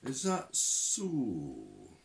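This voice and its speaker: Man doing a gruff bellowing old witch hunter. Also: lively intonation, measured pace, darkish tone. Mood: testy. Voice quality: rumbling, bellowing. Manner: testy, theatrical, drifting regional accent.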